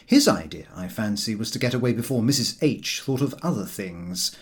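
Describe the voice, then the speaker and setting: A man reading a comic novel aloud as an expressive audiobook narrator. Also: fairly low pitch, brisk.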